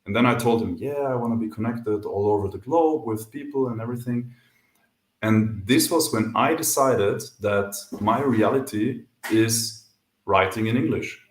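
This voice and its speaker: A man's voice: lowish voice, normal speed, roomy sound, low-quality recording. A man from Austria with an Austrian German accent, a podcast guest speaking English as a second language, talking thoughtfully and candidly with frequent hesitations. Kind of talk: casual interview chat.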